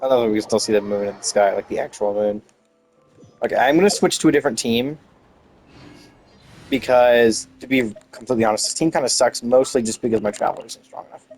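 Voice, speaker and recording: A man chats casually through a video game playthrough in a mid-range voice. He rambles playfully, thinking aloud about what is on screen. Game music plays clearly behind him. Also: medium pitch, fast talker, darkish tone. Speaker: gameplay streamer narrating a playthrough. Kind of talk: casual gaming commentary.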